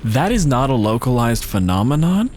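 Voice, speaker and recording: A man's voice: mid-range voice, sing-song, moderate pace, a little mellow, slight background noise. A man narrating a tabletop roleplaying game as game master, in a casual, conversational way with offhand swearing.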